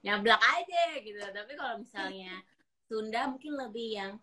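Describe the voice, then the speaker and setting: A young woman from Java, a voice-over talent, chatting in a casual interview in a lively way. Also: fairly high pitch, crowd noise. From Java, Indonesia. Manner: chatty, lively, drops into quick voice impressions.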